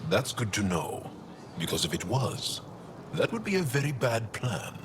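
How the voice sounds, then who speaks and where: A man's voice: medium pitch, sing-song, unhurried. A man playing a guarded, dry-witted alien resistance fighter in a video game, suspicious of outsiders. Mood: dry, stern. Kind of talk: video game cutscene acting. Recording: clear ambient noise behind the voice.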